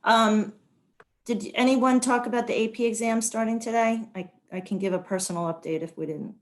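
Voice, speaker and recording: A woman's voice: on the high side, steady tone, normal speed. A woman giving a casual parent's update at an online committee meeting.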